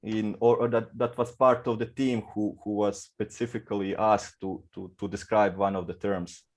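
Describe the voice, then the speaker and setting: A man asking questions at an academic round table, halting and searching for his words. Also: lowish voice, level tone, a little mellow. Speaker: panel moderator.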